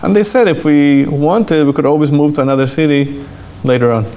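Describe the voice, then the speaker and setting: Calm rabbi with a slight Argentine accent. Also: male voice, on the high side, fairly quick, a little hiss or hum. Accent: slight Argentine Spanish. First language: Spanish. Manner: calm, plain-spoken, with occasional fillers. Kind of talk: conversational interview answers.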